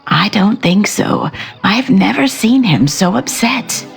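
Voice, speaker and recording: A kindly, expressive older mother. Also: medium pitch, slow pace, vocal fry, faint music behind. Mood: worried. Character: kindly, caring older mother, gently concerned. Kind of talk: dramatised audio series acting.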